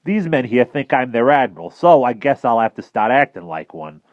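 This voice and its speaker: A man voicing a sly, smooth American man posing as a grand admiral. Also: medium pitch, very animated, normal speed, no echo, highs cut off, voice pushed up, lightly acted. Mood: hurried.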